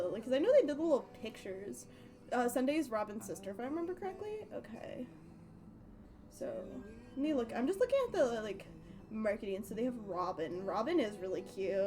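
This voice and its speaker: A woman casually chatting as a livestream host, rambling playfully and often breaking off mid-thought. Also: mid-range voice. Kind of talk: casual gaming stream chat.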